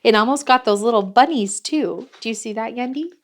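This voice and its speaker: A woman reading a picture book aloud for children in an expressive way, pausing to put questions to young listeners. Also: on the high side. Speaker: children's read-along host. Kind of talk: animated picture-book storytelling.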